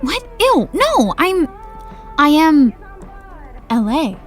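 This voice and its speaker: A woman's voice: sits high, big pitch swings, very slow, faint music behind, exaggerated voice. An actress playing a bubbly, shallow young woman who talks in valley-girl chatter. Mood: chatty.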